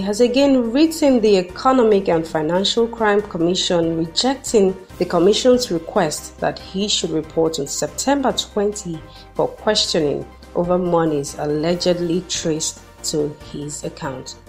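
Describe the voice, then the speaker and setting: A woman's voice: on the low side, darkish tone. Nigerian woman reading radio news. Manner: measured, formal delivery. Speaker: newsreader.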